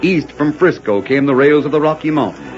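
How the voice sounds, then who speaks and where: A man's voice: fairly high pitch, lively intonation, fairly quick. A man with an American accent delivering scripted, dramatic narration for a 1940s radio drama. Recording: muffled old radio, loud music behind.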